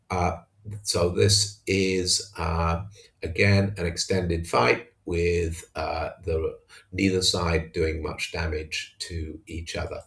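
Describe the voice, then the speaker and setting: Guy recapping his wargame battle, deep creaky voice, kinda slow and halting. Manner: hesitant, halting delivery. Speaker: tabletop wargamer narrating own battle report. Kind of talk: unscripted match recap commentary.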